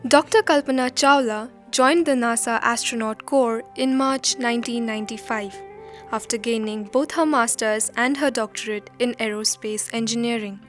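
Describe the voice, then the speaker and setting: A woman narrating a scripted documentary drama in a measured, solemn storytelling manner. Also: a little high, fairly bright tone.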